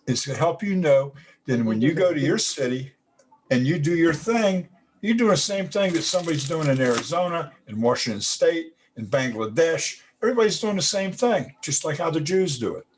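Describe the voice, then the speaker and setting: A man's voice: a little high, animated, moderate pace, a little hiss or hum, poor audio. A man hosting a casual online community chat, talking in a folksy, rambling way with restarts. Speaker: host.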